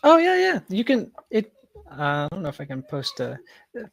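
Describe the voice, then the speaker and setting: A man's voice: sits high, wildly swooping pitch, moderate pace, vocal fry, dry recording. Man telling stories casually, dry close sound. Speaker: podcast guest, founder. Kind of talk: casual, anecdotal conversation.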